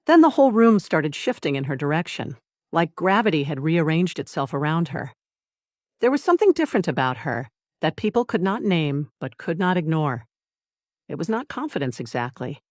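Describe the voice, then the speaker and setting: A narrator reading a scripted dramatic story in deliberate short phrases with dramatic pauses. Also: medium pitch, sing-song, no room sound, clean studio sound. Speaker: audiobook narrator.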